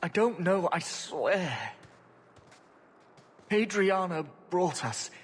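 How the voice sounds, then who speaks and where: A man's voice: high-pitched, animated, drawn-out words, slightly rough, exaggerated voice. An actor playing a terrified male slave hunter begging for his life, in a pleading, panicked way. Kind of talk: video game voice acting.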